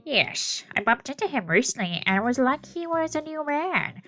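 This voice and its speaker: A woman's voice: high-pitched, very animated, moderate pace, fairly bright tone, soft background music, pitched above the performer's own voice. A woman reading as a breezy gossiping young man. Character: chatty young man, newsletter-writing gossip. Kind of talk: reading visual novel dialogue aloud.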